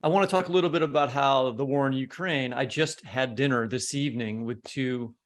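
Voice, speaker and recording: A man's voice: mid-range voice, brisk. A man with an American accent hosting a podcast, asking questions in a conversational way. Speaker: podcast host, interviewer. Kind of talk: conversational interviewing.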